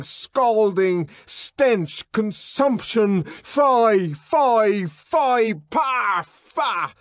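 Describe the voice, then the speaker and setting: A wild young man in a fury, as a male reader puts him on, shouting and declaiming old verse in a raised, strained voice. The delivery is drawn out and the sound is dry and close. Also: high voice, dry recording, voice pushed up. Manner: shouting, ranting furiously.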